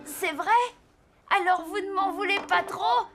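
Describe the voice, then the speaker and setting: A woman's voice: very high voice, fairly even intonation, measured pace, music in the background. A woman voicing a polite, earnest young boy in a cartoon, courteous and slightly hesitant. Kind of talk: animated cartoon acting.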